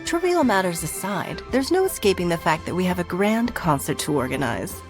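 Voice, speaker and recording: An actress voicing a composed, courteous woman who leads a city, speaking in a formal, elegant, measured way. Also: on the low side, big pitch swings, over music. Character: poised, courteous adult woman leader of a city. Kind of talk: video game voice acting.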